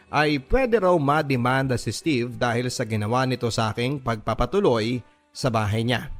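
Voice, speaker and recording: A Filipino man reading listener letters as a radio host, in an earnest, sentimental, dramatic storytelling style. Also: medium pitch. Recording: music behind the voice. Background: background music.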